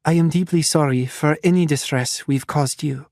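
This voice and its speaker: A man's voice: on the high side, moderate pace, creaky, darkish tone, no echo, clean studio sound, voice pushed up. A man voicing a refined woman doctor who speaks with a slight French accent, polite and soothing. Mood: smooth reassurance.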